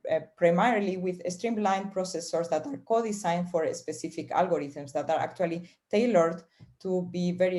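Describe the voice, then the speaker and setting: A woman's voice: on the low side, mellow. Hesitant woman closing a conference talk. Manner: hesitant, many fillers. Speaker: conference speaker. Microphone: video call.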